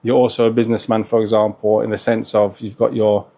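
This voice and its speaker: A man's voice: fairly low pitch, little pitch movement, fast talker, vocal fry, mellow. A man hosting a casual interview, asking questions in a friendly way and filling in with short encouraging replies. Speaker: host, interviewer.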